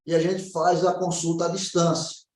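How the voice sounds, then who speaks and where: A man's voice: a little high, level tone, normal speed, a little mellow. A Brazilian man speaking as a naturopath, explaining informally and often checking that the listener follows. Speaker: naturopath answering questions. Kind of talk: informal health consultation. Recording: roomy echo.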